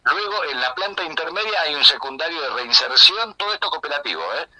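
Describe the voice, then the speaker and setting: A man's voice: a little high, expressive, brisk, a crowd in the background, low-quality recording. An older man with a Buenos Aires accent talking discursively and off the cuff as a guest in a radio interview. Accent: Buenos Aires Argentine. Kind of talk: unscripted conversational account.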